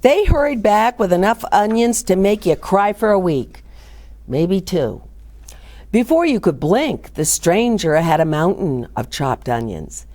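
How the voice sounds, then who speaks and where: Lively, unhurried woman reading a storybook. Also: mid-range voice. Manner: animated, dramatic, emphatic storytelling. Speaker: children's story reader and host. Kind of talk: expressive picture-book read-aloud.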